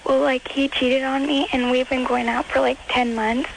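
A fourteen-year-old girl with a childlike baby voice, calling in to a radio show haltingly. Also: high-pitched, level tone, gravelly, background noise. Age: teens. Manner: halting, says like a lot. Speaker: caller. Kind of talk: call-in radio chat.

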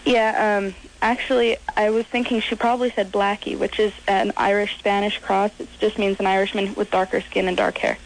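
A woman's voice: medium pitch, level tone, moderate pace, few pauses, rough recording. Chatty woman caller, talking over a faint hiss. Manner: chatty, hesitant with ums. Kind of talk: call-in radio chat.

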